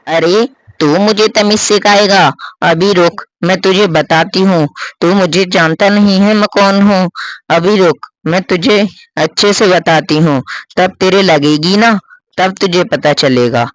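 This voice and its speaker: A man's voice: sits high, a little slow, no room sound, low-quality recording. A man voicing a hot-tempered, defiant schoolgirl in a spoken story, with a brash, quarrelsome manner. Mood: rude. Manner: brash, quarrelsome, pleading when accused.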